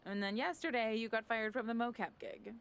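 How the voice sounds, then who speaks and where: A woman's voice: fairly high pitch, brisk, clean tone, close to an everyday voice. A woman playing a cheeky, opportunistic documentary maker in a video game cut scene, breezy, candid and teasing.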